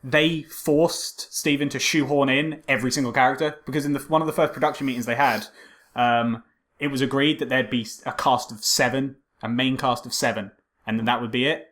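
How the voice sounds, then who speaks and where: A man's voice: medium pitch, steady tone, fairly quick, fairly bright tone. A man co-hosting a casual film podcast, joking and rambling as he goes with some hesitation. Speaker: podcast co-host.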